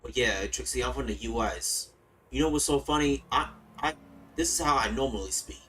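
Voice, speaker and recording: A man's voice: mid-range voice, normal speed, a bit bright, slight background noise. A man from the United States casually commenting while streaming a game, laid-back and rambling, often repeating words. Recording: streamer mic a bit distant with game music.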